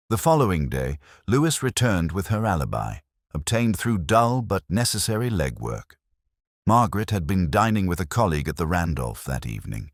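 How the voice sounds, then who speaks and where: A man with an exceptionally low voice narrates a literary detective story. His delivery is formal and measured, yet his intonation moves widely. The sound is dry and close, with no room around it. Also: no echo. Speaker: audio drama narrator. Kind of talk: scripted first-person literary mystery narration.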